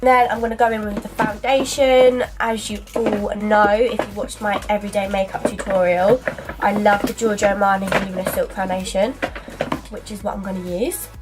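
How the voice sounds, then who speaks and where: A woman's voice: mid-range voice, flat delivery, normal speed, over music. A young woman in her twenties with a stuffy cold, chatting casually through a makeup tutorial in a small dressing area, recorded by a camera in front of her. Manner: chatty, filler-heavy, talks while applying makeup. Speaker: beauty vlogger. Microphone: camera in front at arm's length.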